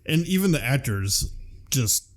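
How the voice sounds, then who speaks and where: A man's voice: medium pitch, very animated, measured pace. A man hosting a podcast in casual, profane chat, reading out film trivia and riffing on it. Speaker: podcast host.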